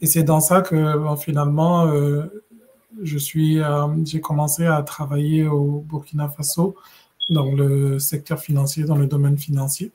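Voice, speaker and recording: Mellow-voiced middle-aged man from West Africa. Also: on the high side, little pitch movement. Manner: measured, thoughtful storytelling with frequent hesitations and restarts. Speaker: guest, regional director. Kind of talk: conversational podcast interview.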